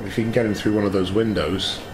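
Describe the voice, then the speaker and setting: A man's voice: lowish voice, fairly even intonation, fast, crowd noise, rough recording. A man talking casually as a player in a tabletop roleplaying game.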